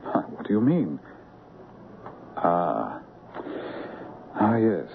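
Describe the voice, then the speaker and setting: Deep, weary king, old radio. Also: very animated, extremely slow, creaky, heavily acted. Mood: grateful, reflective. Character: weary wounded king, humble and grateful. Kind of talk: radio drama acting. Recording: muffled, noisy vintage radio broadcast.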